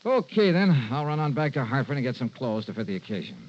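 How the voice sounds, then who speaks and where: An actor playing a wry, confident hardboiled insurance investigator in old-time radio noir. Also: male voice, medium pitch, very animated, quick pace, natural voice. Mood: easy, confident. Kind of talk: 1950s radio noir acting and narration. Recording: dull vintage radio recording, faint music.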